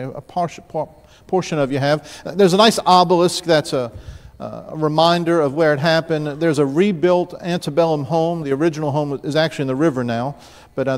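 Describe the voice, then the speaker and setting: A man in his fifties from the New Orleans area, preaching a sermon from the pulpit and telling stories in a conversational way, often restarting and asking the congregation questions. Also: a little high. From New Orleans area, Louisiana. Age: 50s. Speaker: pastor. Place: church sanctuary, large room. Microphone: pulpit mic, mid-distance camera. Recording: church hall with long reverb.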